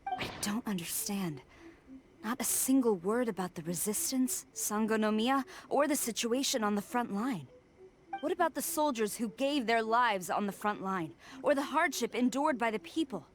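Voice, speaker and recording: A woman's voice: fairly high pitch, expressive, moderate pace, slight background noise, lightly acted. A woman voicing a stern, proud young female general in an anime game, speaking in a formal, earnest and clipped manner. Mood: troubled disbelief. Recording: music behind the voice.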